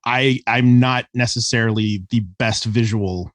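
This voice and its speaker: A man's voice: mid-range voice, unhurried, no echo, clean studio sound. A man speaking as a guest sound designer, talking enthusiastically and conversationally in an interview.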